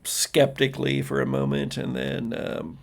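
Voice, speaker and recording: Very creaky-voiced man narrating a game. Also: medium pitch, flat delivery, a little slow. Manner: casual, conversational. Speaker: game master. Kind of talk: improvised narration of tabletop roleplaying game.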